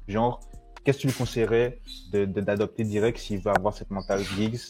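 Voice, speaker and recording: A man's voice: on the low side, fairly even intonation, a little fast, over music. A man hosting a podcast in casual, slangy chat, circling back to rephrase and recap as he goes. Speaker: podcast host. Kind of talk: casual chatty interview, slangy.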